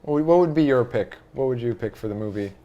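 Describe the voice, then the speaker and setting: A man's voice: mid-range voice, fairly quick, muffled recording, crowd noise. A man in casual, opinionated conversation as a podcast host, speaking informally. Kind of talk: casual chat.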